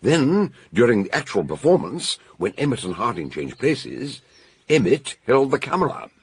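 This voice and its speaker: An actor playing a jovial, commanding older detective in a British radio mystery, with a British accent, a gruff voice and a theatrical, expansive way of explaining. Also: male voice, mid-range voice, sing-song, moderate pace, full character voice. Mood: genial, explaining. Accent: British English.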